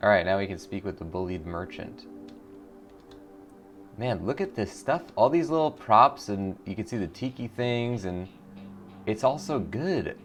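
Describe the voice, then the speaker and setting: Low-voiced man hosting a game playthrough. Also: animated, a little slow. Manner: enthusiastic, informal. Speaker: gaming show host. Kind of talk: casual game playthrough commentary with read-aloud text. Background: faint music.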